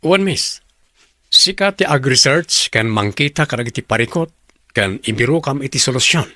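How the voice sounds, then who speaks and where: A man's voice: medium pitch, lively intonation, moderate pace, pushed and bright, dry recording. A man with a Filipino accent playing a businesslike, authoritative company department head in a radio drama. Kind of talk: Ilocano radio drama acting.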